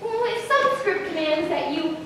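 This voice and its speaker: A woman's voice: very high-pitched, lively intonation, moderate pace, fairly bright tone. A teenage female student acting a painfully shy, flustered, stammering girl in a readers theater monologue. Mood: flustered, indignant. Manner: stammering, repeats words. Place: large hall, on stage before an audience. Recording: echoey hall, distant mic, faint noise.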